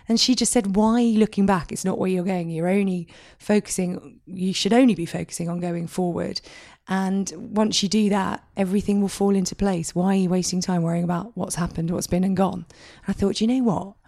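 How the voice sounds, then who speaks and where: A woman in her forties with a husky, hoarse voice from a sore throat, chatting in a relaxed, self-deprecating way as a podcast guest. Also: on the low side, no echo, studio quality. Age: 40s. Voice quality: husky, scratchy. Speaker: podcast guest, news anchor. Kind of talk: casual interview chat. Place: at home in her flat.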